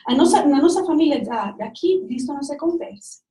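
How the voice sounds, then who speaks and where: A Colombian woman speaking Portuguese with a Spanish accent, giving a reflective, personal talk with frequent pauses and restarts. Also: high voice, animated, warm tone. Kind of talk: lecture-style talk. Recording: distant mic in an echoey room.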